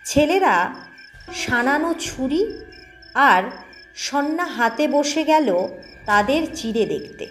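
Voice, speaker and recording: Casting: female audio story narrator, high voice, unhurried delivery, faint music underneath. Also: lively intonation, a bit bright. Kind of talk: scripted first-person ghost story narration.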